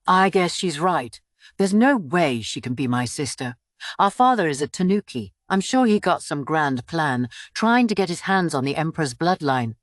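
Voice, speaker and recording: A woman voicing a playful young woman who teases softly while masking sadness and resolve, in a novel reading. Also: deep voice, lively intonation, normal speed, no room sound, clean studio sound. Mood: sly, musing.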